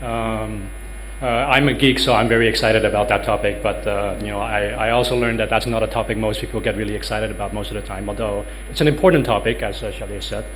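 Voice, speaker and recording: A man's voice: lowish voice, steady tone, fast talker, a little hiss or hum, rough recording. A man in his thirties with a Dutch accent giving a workshop talk in a conference room, hesitant with many ums and uhs. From Netherlands. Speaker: lecturer.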